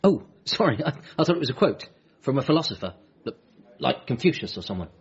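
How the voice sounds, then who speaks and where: A man's voice: medium pitch, very animated, fast talker, breathy edge, muffled recording, full character voice. An actor playing an earnest, bereaved man, hesitant and apologetic, in a radio comedy drama. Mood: awkward, sad. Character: bereaved, awkward, earnest adult son working as a nurse.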